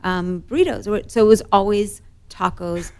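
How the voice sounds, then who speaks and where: A woman's voice: medium pitch, moderate pace, clean tone. A woman from Morocco, a guest on a podcast, telling stories cheerfully and laughing often in casual chat.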